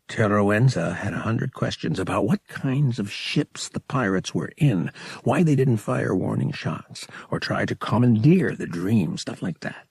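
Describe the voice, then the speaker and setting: Man as a casual, slangy human pilot, gravelly. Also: fairly low pitch, darkish tone, dry recording, clean studio sound, full character voice. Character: young human male pilot, cocky, sympathetic, slangy.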